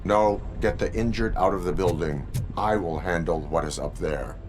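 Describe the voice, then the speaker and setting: An actor playing a stoic cyborg police officer in a video game, speaking in a terse, deadpan way. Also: male voice, low-pitched, normal speed, music in the background, lightly acted. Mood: resolute.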